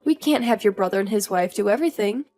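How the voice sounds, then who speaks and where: A woman playing a patient, tired but dutiful young newlywed wife in a scripted audio drama, speaking in a measured, polite conversational way. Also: medium pitch, expressive, warm tone, lightly acted. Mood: tired, accommodating.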